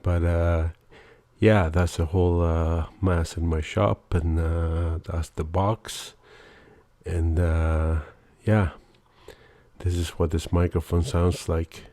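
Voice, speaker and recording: Slow, deep-voiced man with Mexican accent. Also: level tone, warm tone. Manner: rambling, dry self-mocking humor, frequent fillers. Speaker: woodworking channel host. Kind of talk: casual unboxing and product review. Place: home woodworking shop.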